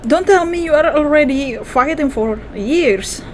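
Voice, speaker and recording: A woman with an Indonesian accent giving casual, expressive reactions as a gaming streamer, switching between languages. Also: high voice, measured pace. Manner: expressive, mixes two languages, repeats game lines. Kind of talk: casual game reaction commentary. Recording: clear background noise from game.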